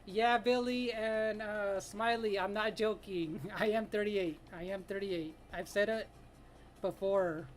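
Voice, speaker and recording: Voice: medium pitch, steady tone, measured pace, faint music behind. Laughing, chatty streamer in their thirties. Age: 30s. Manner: laughs often, greets chat viewers by name. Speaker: live-stream artist host. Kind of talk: casual live-stream chat while drawing.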